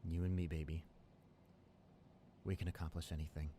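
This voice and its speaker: A man's voice: extremely low pitch, little pitch movement, normal speed, dark tone, slight background noise. A man playing a devoted, hopeful college boyfriend in an improvised ASMR roleplay, talking earnestly and affectionately to a partner. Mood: tender, loving. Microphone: close studio condenser microphone.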